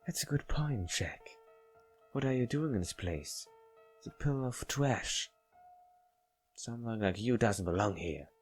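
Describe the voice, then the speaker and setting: A man's voice: on the low side, expressive, measured pace, long pauses, husky, soft background music, pitched above the performer's own voice. A man voicing a creepy, intense young woman in a visual novel, with a teasing, pointed manner. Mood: menacing, teasing.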